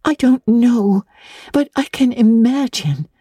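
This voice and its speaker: A woman's voice: a little high, animated, slow pace, warm tone, breathy edge, no room sound, studio quality, voice pushed up, exaggerated voice. A woman voicing an eager, earnest orphan girl in a storybook reading, talkative and polite, with breathless stammers. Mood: eager, imaginative.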